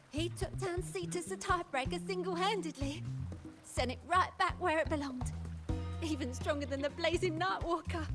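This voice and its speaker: Eager little game girl, really high voice, gushy and earnest. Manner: gushing, earnest. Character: eager, admiring young girl, earnest and excitable. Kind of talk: video game voice acting.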